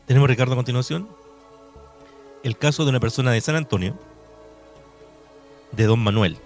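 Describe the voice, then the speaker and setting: A man's voice: mid-range voice, moderate pace. A Chilean man hosting a radio programme in a courteous, reflective conversational way. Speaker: radio host. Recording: music bed under the voice. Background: background music.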